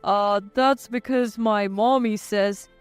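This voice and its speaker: A woman's voice: medium pitch, fairly even intonation, slow pace, clear, resonant tone, dry recording, soft background music, pitched below the performer's own voice, lightly acted. A woman voicing a meek, whiny adult husband, hesitant and pleading, in a narrated story.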